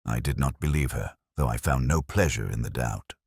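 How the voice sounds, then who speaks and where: A man reading scripted first-person detective narration in a measured, literary, brooding manner. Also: extremely low pitch, gravelly, a little mellow, husky, no echo, studio quality.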